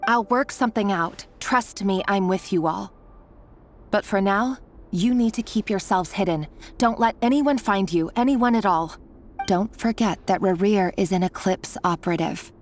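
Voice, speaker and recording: An actress playing an earnest, secretive and protective young woman in a video game, measured and resolute over background music. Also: medium pitch, lightly acted. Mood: earnest, guarded. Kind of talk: scripted video game voice acting. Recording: game dialogue with music underneath.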